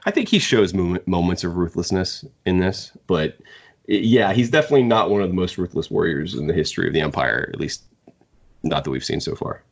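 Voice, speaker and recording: Male podcast panelist, very deep register, halting conversational delivery with repeated words, slightly muffled sound. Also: expressive, vocal fry. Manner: halting, repeats words while thinking aloud. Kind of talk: casual discussion. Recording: muffled, dull audio.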